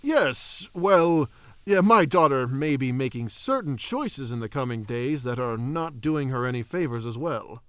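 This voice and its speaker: A man playing a humble, troubled elf king and father in a fantasy audio drama, reflective and gently formal. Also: medium pitch, animated, measured pace, clean tone, no echo.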